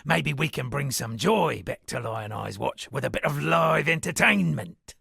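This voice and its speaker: A shipwrecked old-world captain who fancies himself a bard in a video game. His voice is rough with heavy creak and husk, recorded close and dry. He delivers florid, pompous lines with dramatic flourish. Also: mid-range voice, lively intonation, a little slow, sharp tone, dry recording, heavily acted. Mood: wistful, wry. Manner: florid, dramatic, alliterative declaiming. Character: eccentric male shipwrecked sea captain and pompous amateur poet. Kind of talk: theatrical video game character dialogue.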